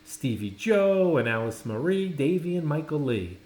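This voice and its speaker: A man reading a children's story aloud, dramatically, with pauses and stressed words. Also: on the high side, sing-song, a little mellow. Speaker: storybook reader. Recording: roomy echo, faint background noise.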